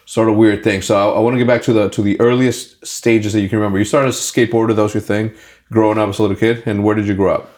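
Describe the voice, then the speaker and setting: A man hosting a podcast interview, energetic and rambling, restarting his sentences often. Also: on the low side, rapid-fire. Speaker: podcast host.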